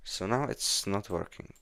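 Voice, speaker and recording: Very slow, low-voiced Czech man. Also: a bit bright, slight background noise. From Czech Republic. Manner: halting, hesitant non-fluent English. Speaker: game developer live streamer. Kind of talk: casual live coding stream chat. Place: indoors at a computer, keyboard clicks behind.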